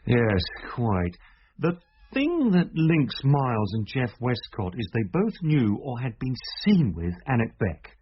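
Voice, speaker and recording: An actor playing a brisk, sceptical police inspector in a radio drama, direct and authoritative in manner. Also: male voice, medium pitch, wildly swooping pitch. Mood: reasoning, confident. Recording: dull, faintly noisy radio drama recording.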